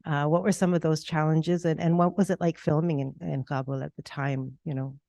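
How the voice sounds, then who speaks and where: Soft-spoken talkback moderator. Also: mid-range voice, fairly even intonation, a little fast, gravelly, no room sound. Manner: soft-spoken, gentle affirmations. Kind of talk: post-screening Q&A hosting.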